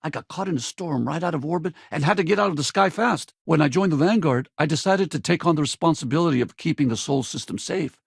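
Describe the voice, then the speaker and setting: Gravelly, animated space pilot. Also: male voice, a little high, fast, few pauses, no room sound, clean studio sound, full character voice. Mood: candid, reflective. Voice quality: gravelly, husky. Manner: casual, colloquial, conversational. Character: easygoing, loyal male space pilot companion, battle-ready and wry. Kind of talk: video game voice acting.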